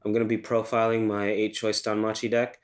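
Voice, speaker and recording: A man's voice: lowish voice, monotone, fairly quick. A young man with a stuffy, congested voice from a cold, hosting a casual walkthrough and talking loosely with ums and self-corrections. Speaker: channel host reviewing a card game deck.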